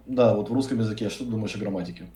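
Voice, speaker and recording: An eighteen-year-old man from Russia, talking fast and bluntly with casual swearing on a video call. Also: on the low side, warm tone, soft background music. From Saint Petersburg, Russia. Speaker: university economics student. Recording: echoey room, far from the mic.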